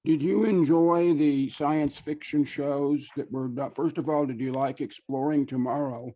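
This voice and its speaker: A man's voice: fairly high pitch, fairly even intonation, unhurried, dark tone, rough recording. A man informally putting questions to a speaker as an audience member on a video call. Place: online video meeting. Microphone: video call microphone.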